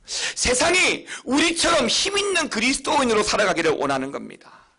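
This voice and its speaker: Busan-accented pastor preaching with high, lively pitch. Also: male voice, normal speed, slightly rough. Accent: Busan Gyeongsang. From Busan, South Korea. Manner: emphatic preaching with sudden high, loud stresses. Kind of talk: sermon.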